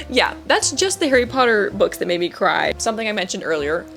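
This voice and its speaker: A woman's voice: medium pitch, sing-song, moderate pace, creaky, fairly bright tone, faint music behind. A woman chatting casually to camera as a book reviewer, with an emphatic, gushing, giggly manner. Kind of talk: casual chat to camera about books.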